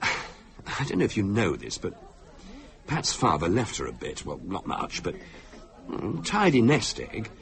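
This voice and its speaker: An actor with a British accent playing a protective, irritable husband in a radio drama, insistent and exasperated. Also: male voice, on the low side, huge pitch swings, unhurried, vocal fry, long pauses, a bit bright, full character voice. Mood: firm, worried. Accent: British English.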